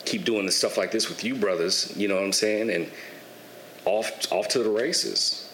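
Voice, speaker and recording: A young man in his twenties, guesting on a podcast, tells his story in a relaxed, candid way and swears casually. His voice sits fairly low. The room is echoey, with a faint hiss underneath. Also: moderate pace. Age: 20s. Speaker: guest, barber and clothing entrepreneur.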